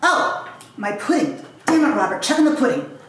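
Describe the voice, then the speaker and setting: A woman playing an indignant, sharp-tongued mother in an amateur stage reading. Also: fairly high pitch, sing-song, normal speed. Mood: scolding. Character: indignant, sharp-tongued working-class mother at holiday dinner. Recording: distant echoey room, faint noise.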